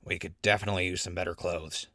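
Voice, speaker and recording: A wry wasteland survivor, played by a man, speaks in a low, forceful voice. He is casual and a little skeptical, in a dry audio drama recording. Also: steady tone, quick pace, dry recording. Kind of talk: post-apocalyptic audio drama acting.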